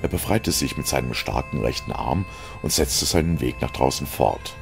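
A man's voice: extremely low pitch, animated, moderate pace, creaky, soft background music. A man narrating a scripted audio story in a dramatic reading style.

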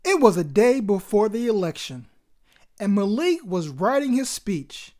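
A woman narrates a children's read-aloud as story time host. Her delivery is expressive, with a lively, wide-ranging pitch. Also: unhurried.

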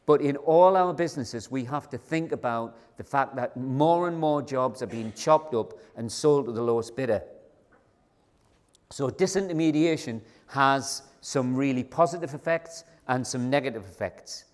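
A man's voice: medium pitch, normal speed. A man giving a conversational, emphatic keynote talk to a large conference audience. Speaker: keynote speaker. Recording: long hall reverb.

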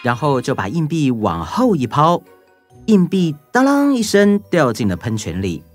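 Animated children's story narrator. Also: mid-range voice, moderate pace, faint music behind.

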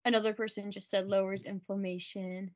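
A woman's voice: mid-range voice, little pitch movement, fairly quick, dry recording. A woman assisting at an online class, casually relaying comments from the chat.

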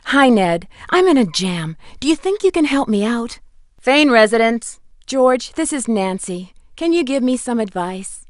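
A woman's voice: on the high side, very animated, measured pace, no echo, clean studio sound. An actress playing a bold, probing teenage girl detective in an adventure game, asking pointed questions. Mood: asking for help.

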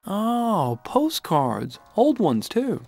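An actor playing a cocky, friendly young race car in a video game, breezy and boyish, with an American accent. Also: male voice, high-pitched, huge pitch swings, very slow, vocal fry, a little mellow, faint music behind, full character voice.

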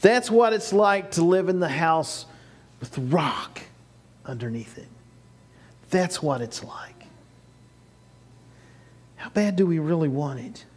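An older man delivers a sermon in a small church, his voice sitting high for a man. He presses his points with rising, repeated phrases. The sound comes from a camera at the back of the sanctuary. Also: lively intonation, slow, long pauses, husky. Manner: preaching with rising emphatic bursts and repeated phrases. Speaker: pastor. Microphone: far camera, no mic seen.

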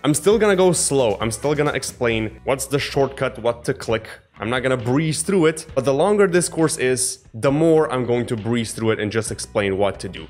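A man in his twenties hosts a casual tutorial with a medium-pitched voice. He explains things informally, talking straight to the viewer. He is close on a studio microphone in a small room, with music playing behind him. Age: 20s. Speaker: software tutorial host. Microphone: close studio microphone on boom arm. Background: background music.

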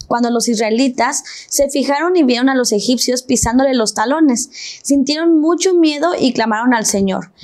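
A woman's voice: sits high, a little mellow. A young woman with a Mexican accent, a panel guest reading scripture and then talking it through. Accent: Mexican Spanish. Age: young adult. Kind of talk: Bible reading and discussion. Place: TV studio set. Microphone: lapel.

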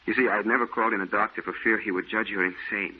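A guilt-ridden, fearful painter in vintage radio drama. He speaks low and earnestly with a husky edge, through a thin, phone-like recording. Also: fairly even intonation, very fast. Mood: regretful. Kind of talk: old-time radio drama acting. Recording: muffled, narrow old radio recording.

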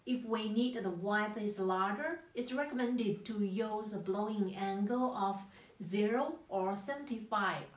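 A young woman presenting a scripted technical explainer in careful, halting English. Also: mid-range voice. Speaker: product presenter. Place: small plain indoor room, seated. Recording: echoey room.

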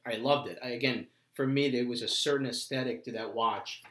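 A man's voice: medium pitch, fairly quick, fairly bright tone. A middle-aged man, chatting casually as a co-host. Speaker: watch show co-host. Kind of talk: casual chat. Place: small indoor room, seated at a table. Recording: roomy indoor echo.

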